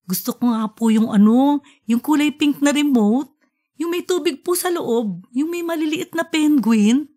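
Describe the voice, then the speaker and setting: A woman's voice: sits high, unhurried, dark tone, voice pushed up. A woman voicing an eager, cheeky young schoolboy who talks politely in an audiobook story. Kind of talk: audiobook character dialogue.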